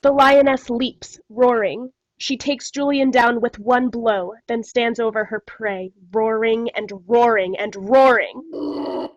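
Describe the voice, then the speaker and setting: A woman's voice: on the high side, takes it slowly, no echo, muffled recording. A woman reading stage directions as scripted dramatic narration on a video call.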